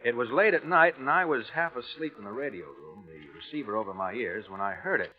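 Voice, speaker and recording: A man's voice: medium pitch, expressive, brisk, lightly acted. Man acting working-stiff navy veteran, colloquial, cagey, old radio play, tinny narrow sound, music underneath. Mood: storytelling. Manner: colloquial, hesitant, confiding. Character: plain-spoken, cagey American Navy veteran spinning a yarn. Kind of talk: 1940s radio drama acting. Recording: tinny vintage radio recording, music behind.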